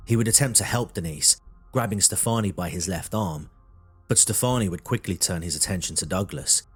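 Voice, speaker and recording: A man narrating a scripted true crime documentary in a measured, dramatic storytelling manner. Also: low voice, breathy edge.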